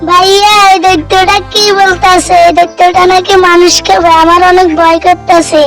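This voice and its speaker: A woman's voice: very high-pitched, flat delivery, moderate pace, a bit bright, soft background music. A woman playing a curious, timid little girl in a children's fairy-tale cartoon, with a coaxing, whiny manner. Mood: frightened.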